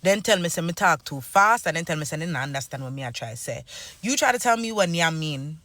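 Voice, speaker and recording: Fast, bright-voiced Jamaican man arguing his point. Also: fairly high pitch, animated, no room sound. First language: Jamaican Patois. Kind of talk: direct-to-camera talk, switching into dialect.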